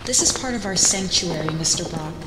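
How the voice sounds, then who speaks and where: A woman's voice: on the low side, animated, a little fast, very noisy, natural voice. A woman playing a gracious member of a hidden underground community in a comic-book drama. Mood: grateful. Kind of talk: comic-book motion comic acting. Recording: echoey hall under loud music.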